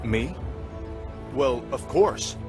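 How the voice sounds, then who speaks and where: Eager young paladin, very animated. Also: male voice, mid-range voice, extremely slow. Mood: surprised, earnest. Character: eager, hot-headed young male paladin prince. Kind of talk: fantasy game cutscene dialogue. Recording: game music behind.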